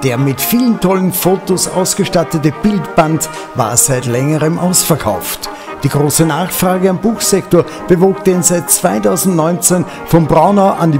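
An Austrian man narrating a scripted regional news report as its reporter. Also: a little high. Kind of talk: scripted news narration and short interview questions. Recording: music and crowd noise behind.

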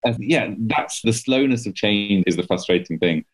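A man's voice: fairly low pitch, expressive, moderate pace. A man in his thirties, a journalist guest, talking thoughtfully with frequent restarts over a video call from home. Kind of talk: casual interview conversation. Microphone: laptop webcam on video call.

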